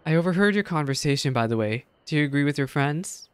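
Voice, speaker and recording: A man's voice: a little high, animated, fast talker, clean tone, no room sound, close to an everyday voice. A man voicing a stern, exasperated tavern keeper in a game, dry and scolding.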